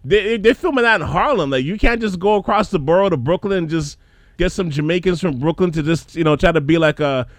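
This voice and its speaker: A man hosting a casual podcast chat, animated and repeating words as he gets going. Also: a little high, fairly quick, no echo. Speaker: podcast host.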